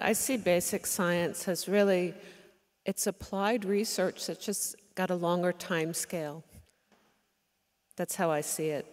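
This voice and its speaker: A woman on a panel giving a short, measured comment that explains her view. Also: on the low side. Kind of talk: panel discussion. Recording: reverberant hall.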